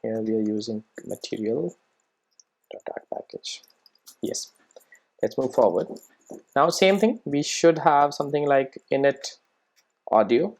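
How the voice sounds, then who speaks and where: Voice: mid-range voice, animated, unhurried, pauses often, darkish tone. A tutorial presenter with a mid-range voice walks through programming steps in an informal way. The delivery sounds like thinking aloud while working, with short questions tossed to the listener to check they are following. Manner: checks in with rhetorical questions and tag "right?".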